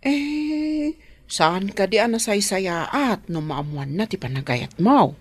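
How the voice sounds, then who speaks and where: A woman's voice: mid-range voice, expressive, slow pace, no room sound. A woman with an Ilocano accent playing a nosy, teasing matchmaker of the household in a radio drama, frank and coaxing. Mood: teasing, probing.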